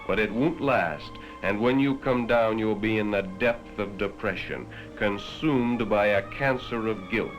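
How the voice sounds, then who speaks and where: A man's voice: lowish voice, a little slow, few pauses, lo-fi sound. An American man reading scripted narration in the grave, dramatic, moralizing manner of a 1960s educational film, addressing the listener directly. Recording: vintage 1960s film soundtrack. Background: prominent music.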